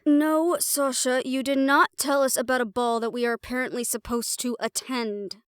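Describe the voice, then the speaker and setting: A woman's voice: high voice, steady tone, normal speed, clear, resonant tone, dry recording, studio quality, close to an everyday voice. Sardonic young siren played by a woman. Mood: exasperated. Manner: sarcastic, emphatic. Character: sardonic young female siren, bossy, easily exasperated. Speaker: character in fantasy audio roleplay. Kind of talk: dramatic audio roleplay acting.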